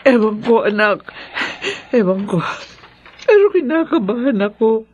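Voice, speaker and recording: An anxious mother in a horror radio play. She speaks high, with wide, lively swings of pitch. Her tone is worried and sorrowful. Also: a little slow, muffled recording, a crowd in the background. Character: anxious mother waiting for her husband at night. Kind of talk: horror radio drama acting.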